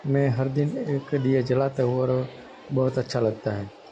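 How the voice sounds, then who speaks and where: A man from India, narrating a casual shopping vlog with hesitant, halting phrasing. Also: medium pitch, steady tone, mellow. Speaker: vlogger. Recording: dull lo-fi audio with background noise.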